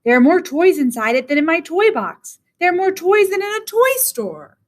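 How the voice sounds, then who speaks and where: An excited little boy, eager and wide-eyed, with a high, springy voice, as a woman reads him aloud in a storybook. He sounds breathless with anticipation. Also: moderate pace.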